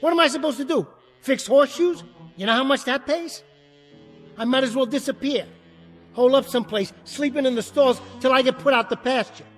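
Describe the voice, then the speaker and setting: An actor playing a small, bitter, hot-tempered jockey in a radio drama, with a streetwise, combative, slangy way of talking. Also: male voice, extremely high pitch, brisk, soft background music. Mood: indignant pleading.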